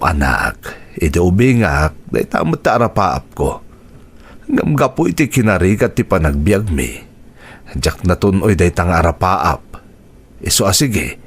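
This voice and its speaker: A supportive, fatherly figure for a radio drama. His voice sits very low and moves expressively through the lines, with an earnest, encouraging manner. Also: very animated, unhurried, pauses often, slightly rough, full character voice. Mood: wistful, encouraging. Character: supportive father who once dreamed of music himself. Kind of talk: Ilocano radio drama acting.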